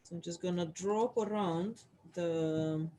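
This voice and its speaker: Art instructor, unhurried, hesitant delivery, mid pitch. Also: mellow. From Romania. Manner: hesitant, many fillers, chatty asides to viewers. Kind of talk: casual craft tutorial.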